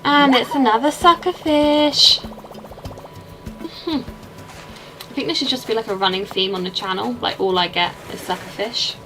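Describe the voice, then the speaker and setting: A woman's voice: on the high side, steady tone, unhurried, long pauses, fairly bright tone, faint music behind, poor audio. A young woman with an English accent, chatting casually and rambling while she plays a game and answers her chat. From England. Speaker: streamer.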